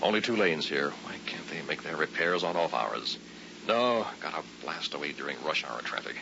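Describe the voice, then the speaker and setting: A man's voice: a bass voice, lively intonation, moderate pace, creaky, full character voice. An actor playing an irritable, cocky male commuter grumbling to himself in a vintage radio drama. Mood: impatient, grumbling. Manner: muttering to himself, exclamatory. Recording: muffled, very noisy old radio recording.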